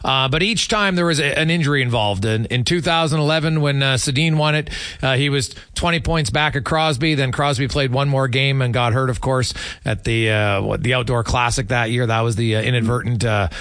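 A man hosting a sports talk show, giving unscripted analysis and statistics in a somewhat halting way. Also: mid-range voice, a bit bright, no echo, studio quality. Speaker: sports radio host.

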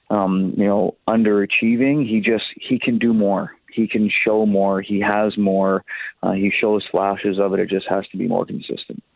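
A gravelly male coach answers interview questions over a narrow, tinny phone connection. His delivery halts and doubles back on words as he explains, and his low voice stays fairly flat. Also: dry recording. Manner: halting, repeats words as he explains. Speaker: hockey head coach. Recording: phone-like thin band-limited line.

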